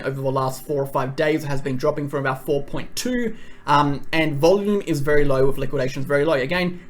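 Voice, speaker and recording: A man presenting a crypto market analysis in a brisk, emphatic, repetitive way, his voice a little hoarse from talking a lot. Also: on the high side. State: voice going a bit hoarse.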